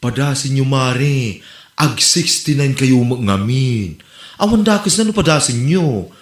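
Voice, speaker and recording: A man's voice: mid-range voice, animated, unhurried, natural voice. A man with an Ilocano Filipino accent acting a cheeky, gossipy friend full of advice in an amateur comedy audio drama. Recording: roomy, echoing amateur podcast recording.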